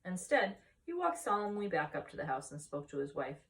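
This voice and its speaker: A woman's voice: fairly low pitch, big pitch swings, brisk, fairly bright tone. A woman reading a children's storybook aloud as a story-time narrator. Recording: voice distant from the mic.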